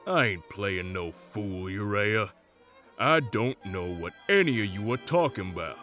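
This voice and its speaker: A man playing a brash, combative loudmouth with a defiant drawl in an audio drama. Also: lowish voice, expressive, measured pace, soft background music. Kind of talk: audio roleplay drama acting.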